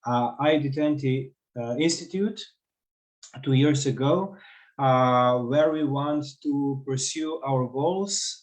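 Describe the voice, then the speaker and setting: A man's voice: mid-range voice, a little mellow, rough recording. A man in his thirties with a Slovenian accent, explaining his organization's work earnestly on a video call. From western Slovenia. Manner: earnest, explanatory, some halting. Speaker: panelist, cultural heritage organization representative. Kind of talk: panel discussion answer.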